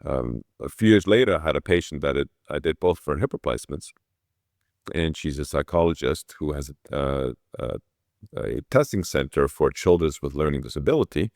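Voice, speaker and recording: A man's voice: rumbling low, lively intonation, moderate pace, creaky, a little mellow, no room sound, studio quality. A middle-aged man from Switzerland telling his own story conversationally as an interview guest. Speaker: interview guest, orthopedic surgeon.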